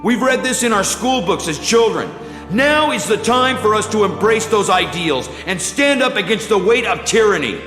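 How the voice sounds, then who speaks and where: An actor playing an earnest, defiant American rebel leader, delivering a deliberate, rousing speech in a video game cutscene. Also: male voice, high voice. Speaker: resistance leader. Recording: very echoey, long reverb, music behind.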